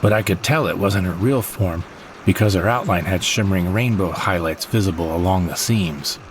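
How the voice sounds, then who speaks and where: A man's voice: low voice, normal speed, background noise. A man narrating a first-person audio drama story in a dramatic storytelling manner. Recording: narration over loud score.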